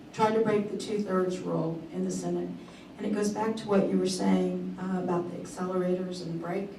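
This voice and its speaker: A woman's voice: medium pitch, a little mellow. A woman in the audience who thanks the speaker and asks a question, in a polite, gracious way. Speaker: audience member asking a question. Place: breakfast event room. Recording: echoey room, far from the mic, noisy.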